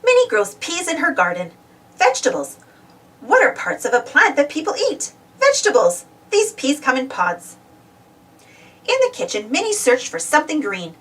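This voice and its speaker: A woman's voice: high voice, wildly swooping pitch, normal speed, fairly bright tone, distant mic. A woman reading a children's storybook aloud, expressively and simply for young listeners. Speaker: storybook reader. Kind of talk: scripted read-aloud children's storybook narration.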